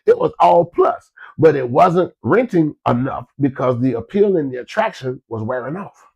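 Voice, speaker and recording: A man's voice: fairly high pitch, very animated, moderate pace, creaky, darkish tone, breathy edge, poor audio. A man, stuffed up from a cold, giving folksy business advice to his listeners in a casual, repetitive way. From Florida. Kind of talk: casual motivational talk to listeners.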